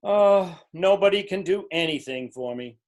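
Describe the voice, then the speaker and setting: An anxious storybook king, acted out by an amateur reader, demanding and gloomy. The pitch swings widely, with exasperated emphasis suited to readers theater. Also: animated, moderate pace, darkish tone, lightly acted. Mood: mournful.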